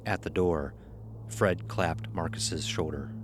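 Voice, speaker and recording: A man's voice: deep voice, slow. A man reading a scripted horror story as narrator, on a noisy recording.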